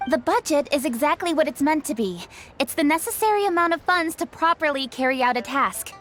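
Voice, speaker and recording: A sharp, efficient young official, talking in a high, firm and businesslike voice. She sounds principled and to the point, with light game music behind. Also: animated, brisk. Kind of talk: game cutscene voice acting.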